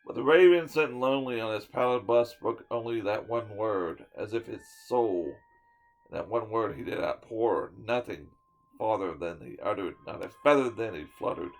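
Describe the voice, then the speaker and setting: A man giving a dramatic reading of a poem, swinging from loud declaiming to hushed whispers and sometimes tripping over a word. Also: fairly low pitch, moderate pace, gravelly, rough recording. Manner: stumbles and restarts words now and then. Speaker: storyteller reading a poem aloud.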